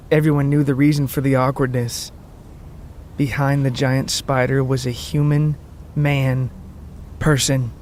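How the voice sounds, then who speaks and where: Higher-voiced man narrating a story. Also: a little mellow.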